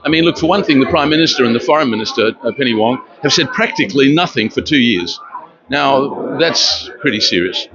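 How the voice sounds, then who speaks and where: An older man born in Romania, giving an earnest street interview at an outdoor protest, speaking haltingly with many fillers. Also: medium pitch, lively intonation. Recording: dull lo-fi with loud outdoor noise.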